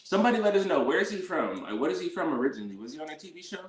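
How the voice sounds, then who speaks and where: A man's voice: a little high, sing-song, very fast. A man livestreaming a casual, slangy reaction as host, often sounding incredulous. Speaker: livestream host reacting to his own dating-show episode. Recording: roomy livestream audio with echo.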